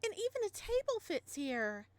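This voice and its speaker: A woman's voice: very high voice, very animated, moderate pace, dry recording, pitched above the performer's own voice. A woman voicing a sweet, eager little girl, a devoted daughter in a visual novel. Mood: bright, eager. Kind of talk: visual novel character read-aloud.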